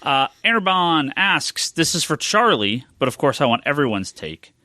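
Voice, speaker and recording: A man hosting a casual podcast chat, joking and swearing freely in an animated way. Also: on the high side, a little slow, no echo. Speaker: podcast host.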